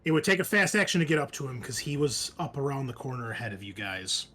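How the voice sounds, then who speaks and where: A man's voice: mid-range voice, big pitch swings, quick pace, a bit bright, slight background noise. A man game mastering a tabletop roleplaying game, explaining rules and narrating the action in casual talk.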